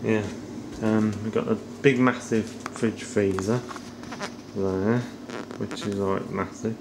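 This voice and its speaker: Middle aged guy filming a walk round a house, monotone, low key, kinda trailing off, noisy camera audio. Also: slow, vocal fry. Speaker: vlogger giving a house tour. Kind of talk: casual off-the-cuff narration while filming. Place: indoors, walking through rooms of a house. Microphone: handheld camera at arm's length.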